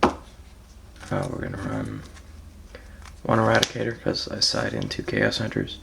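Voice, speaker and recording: A man with a raspy, just-woke-up voice, casually walking through his card deck with frequent ums and soft trailing phrases. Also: lowish voice, very animated, measured pace, very noisy. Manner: laid-back, hesitant, frequent ums, trails off softly. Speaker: card game player presenting his deck.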